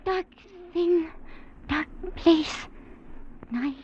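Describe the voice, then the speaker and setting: An actress in a 1950s radio drama playing a timid, frightened, childlike young woman who speaks in halting broken phrases. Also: very high-pitched, darkish tone, full character voice. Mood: fearful. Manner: halting broken speech in very few words. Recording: dull old radio recording, noisy background.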